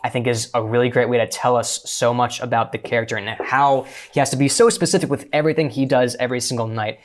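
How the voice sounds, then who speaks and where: Articulate mid-pitched man giving feedback. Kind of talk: casual analytical discussion of a script.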